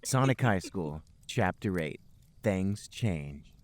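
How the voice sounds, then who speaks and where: A man's voice: deep voice, animated, takes it slowly, no echo. A man narrating fan fiction as a podcast host, in a mock-serious comic reading style.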